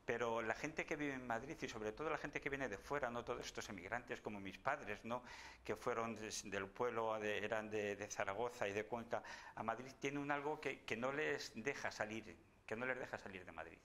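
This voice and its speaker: A man's voice: medium pitch, moderate pace, a bit bright. A middle-aged man from Spain, a bookseller recommending novels in casual chat, often repeating words as he thinks. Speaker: bookseller guest.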